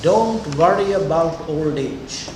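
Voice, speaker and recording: An older man lectures in a very reverberant room, picked up from a distance over loud background noise. He talks deliberately and conversationally, putting questions to his students. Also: a little high, lively intonation. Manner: deliberate, conversational, checks in often with "okay" and "huh". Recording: echoey lecture room, distant mic, noisy.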